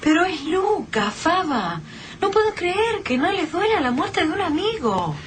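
A woman's voice: extremely high pitch, big pitch swings, a little slow, heavily acted. An actress with a Rioplatense Argentine accent playing an anxious woman survivor in a radio drama, emotional and pleading. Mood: upset. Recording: noisy radio-drama recording.

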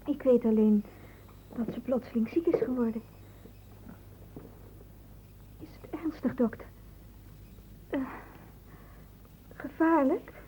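A woman's voice: sits high, unhurried, long pauses, dark tone, rough recording. A young woman playing a timid, polite student in a Dutch radio play, hesitant and deferential. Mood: timid, worried. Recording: faintly hissy old radio recording.

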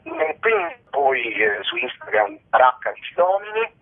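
A man's voice: mid-range voice, wildly swooping pitch, normal speed, slightly rough. A male comedian chatting as a radio interview guest in a rambling, tongue-in-cheek, mock-solemn manner. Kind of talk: casual radio interview chat. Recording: muffled telephone line.

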